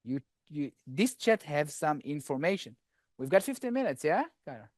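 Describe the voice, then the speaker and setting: A man's voice: on the high side, very animated, normal speed, a little mellow, dry recording, clean studio sound. A man giving a casual, chatty technical talk with a live coding demo to a conference audience, joking with the room as he goes. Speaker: conference speaker.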